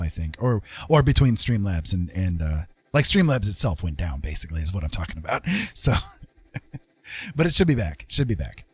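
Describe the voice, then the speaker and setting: A man's voice: low voice, slightly rough. A man hosting a livestream, talking in a casual, unscripted, rambling and conversational way. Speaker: live streamer hosting a chat stream.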